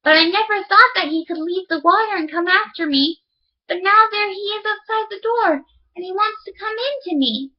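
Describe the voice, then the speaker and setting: A woman's voice: extremely high pitch, moderate pace, lo-fi sound, voice pushed up. A woman voicing an anxious, easily upset young princess in a plaintive, exclaiming storybook manner. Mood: pleading.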